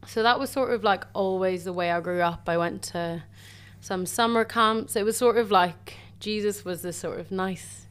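A young woman from Ireland, a university student telling her faith story in chatty, warm casual talk full of "sort of" and "like". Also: medium pitch, normal speed, smooth. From Northern Ireland. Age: young adult. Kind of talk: casual faith testimony chat.

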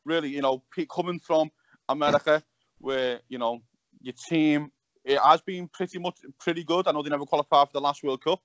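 A man hosting a podcast in casual chat, halting and repeating his words as he goes. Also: fairly high pitch, steady tone, no echo, highs cut off. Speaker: podcast host.

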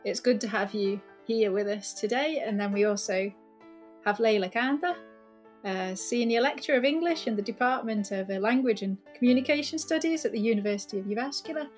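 Woman podcast host, higher voice, measured and welcoming, faint guitar behind. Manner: measured, welcoming, introduces guests at length. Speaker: podcast host, senior lecturer. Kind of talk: friendly podcast hosting.

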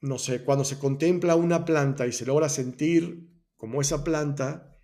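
A man's voice: a little high, normal speed, warm tone. A man hosting a personal growth talk, explaining Buddhist ideas in a thoughtful way, often restarting and correcting himself. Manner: thoughtful, self-correcting, many restarts and asides. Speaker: host of a personal growth podcast.

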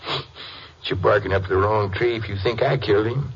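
An actor playing a nervous, defensive farmer with a Southern US accent, hesitant and folksy, in an old radio drama. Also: male voice, on the low side, fairly even intonation, normal speed, husky. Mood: indignant. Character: defensive, nervous male farmer under suspicion. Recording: muffled, hissy old radio recording.

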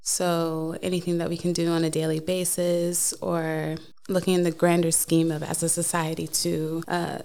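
Gravelly woman, thoughtful guest. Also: lowish voice, monotone, normal speed, few pauses, darkish tone. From United States. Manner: thoughtful, laughs often, hesitant uh fillers. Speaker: podcast guest. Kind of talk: casual interview answers. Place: studio.

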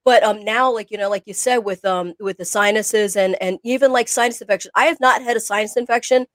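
A woman's voice: mid-range voice, a little fast, fairly bright tone, no echo. A woman co-hosting a casual podcast chat, rambling and often groping for words. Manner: rambling, hunts for words, many fillers. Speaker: podcast co-host.

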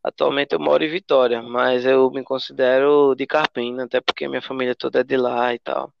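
Flat, gravelly man. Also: mid-range voice. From Pernambuco, Brazil. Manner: halting, fills with "assim" and "tipo". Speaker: singer, guest. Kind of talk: casual interview chat. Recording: dull lo-fi with faint noise.

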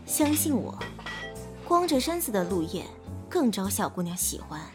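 A woman's voice: medium pitch, very animated, moderate pace, over music, close to an everyday voice. A woman playing a bold, self-assured actress in a Chinese audio drama. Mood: assertive, sly.

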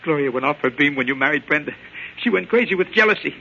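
An actor playing a panicky, cowardly small-time crook, stammering and slangy, in 1940s radio acting style. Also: male voice, on the high side, expressive, fast, creaky. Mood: defensive, accusing. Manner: frantic, stammering, slangy pleading. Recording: dull, noisy old radio broadcast.

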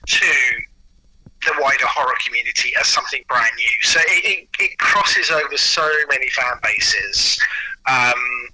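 A man's voice: medium pitch, animated, normal speed, pushed and bright, slight background noise, low-quality recording. A man speaking as an interview guest, enthusiastic and anecdotal in casual chat. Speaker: audio producer and actor, interview guest.

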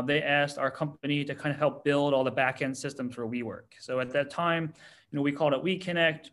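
An American man in his thirties, a startup CEO answering interview questions in a relaxed way with many fillers. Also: medium pitch. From United States. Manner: relaxed, many ums and you-knows.

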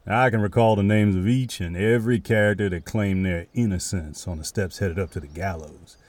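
An actor playing a weary, devout older cavalry sergeant in a western audio drama, measured and folksy. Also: male voice, low voice, steady tone, a little mellow, no echo, natural voice. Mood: weary, frank. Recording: music behind the voice.

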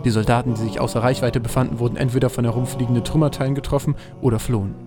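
A man narrates an audio drama at a quick pace, his pitch in an average male range. Clear music plays behind his scripted storytelling. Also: fairly even intonation.

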